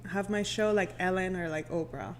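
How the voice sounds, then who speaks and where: A woman's voice: on the low side, fairly even intonation, normal speed. A woman talking casually as a podcast guest, in an informal way, often saying "like" and "you know". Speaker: radio personality, podcast guest. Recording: clear background noise.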